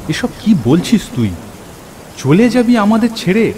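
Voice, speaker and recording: A man playing an earnest, excitable friend who tells a cartoon horror story in the first person, in an expressive, theatrical way. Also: sits high, unhurried, slight background noise. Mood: concerned, insistent. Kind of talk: dramatic scripted first-person horror narration. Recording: clear rain and thunder over voice. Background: rain and thunder effects.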